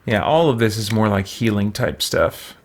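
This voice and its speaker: A man's voice: on the low side, expressive, moderate pace, slight background noise. A man giving casual game commentary, thinking aloud to himself in a musing, tentative way. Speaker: gamer hosting a let's play.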